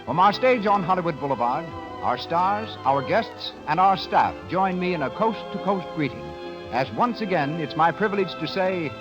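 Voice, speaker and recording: A man's voice: high voice, lively intonation, normal speed, over music, low-quality recording. A man working as a radio announcer, delivering scripted announcements and advertising in 1930s style.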